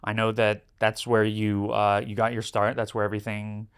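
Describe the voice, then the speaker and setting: A man from Brooklyn, New York, hosting a podcast interview, asking leading questions and often checking in with a quick "right?". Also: on the low side, completely flat delivery, clean tone. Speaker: podcast host. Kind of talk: casual interview.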